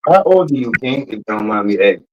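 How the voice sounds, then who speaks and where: A man's voice: mid-range voice, expressive, fast talker, slight background noise, low-quality recording. A man in his late thirties with a New Orleans accent, hosting a podcast and asking questions in a laid-back way. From New Orleans, USA. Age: 30s. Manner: laid-back, repeats "you know what I'm saying". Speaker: podcast host. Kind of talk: casual interview questions.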